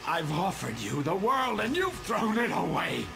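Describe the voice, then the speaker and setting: An actor playing a sadistic, gloating supervillain in a video game, with a gravelly voice and a theatrical, taunting delivery. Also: male voice, high-pitched, big pitch swings, over music, exaggerated voice. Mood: menacing gloating. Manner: theatrical, taunting, drawn-out menace. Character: sadistic, gloating armored male supervillain on a glider. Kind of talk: video game villain acting.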